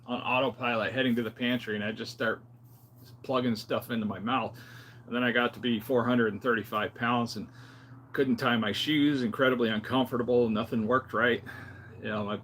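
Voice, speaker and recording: Male vlogger, 50s, medium pitch, plain-spoken and off-the-cuff, some background noise. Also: a bit bright. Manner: plain-spoken, conversational, rambling. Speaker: health vlogger. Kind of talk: casual unscripted vlog talk.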